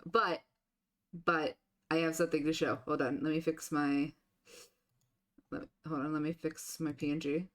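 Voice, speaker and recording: A woman streamer chatting casually and rambling with filler restarts, her voice deepened by a sore throat. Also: little pitch movement, moderate pace, long pauses, fairly bright tone. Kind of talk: casual live-stream chat.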